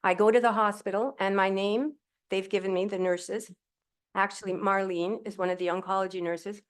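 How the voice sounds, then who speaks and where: A middle-aged woman speaking as a panel guest at a live event, using a handheld mic. She tells her story in a cheerful, candid and rambling way. Her voice sits at a medium pitch. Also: fairly even intonation, normal speed, smooth. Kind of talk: telling her personal story. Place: event hall with audience. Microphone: handheld microphone.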